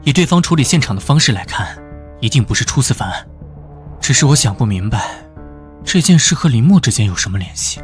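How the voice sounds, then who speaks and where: A man playing an earnest young police detective in an animated drama, serious and brooding. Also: mid-range voice, brisk, faint music behind, lightly acted. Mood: earnest, focused.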